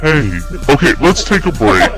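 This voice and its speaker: A man's voice: mid-range voice, big pitch swings, unhurried, over music. A man co-hosting a podcast in casual group banter. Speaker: podcast co-host.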